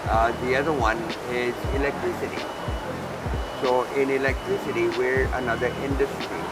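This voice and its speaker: A man being interviewed as a company executive, explaining at length in earnest, deliberate, point-by-point phrasing. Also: medium pitch, fairly even intonation, low-quality recording. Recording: music laid under the voice. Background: background music.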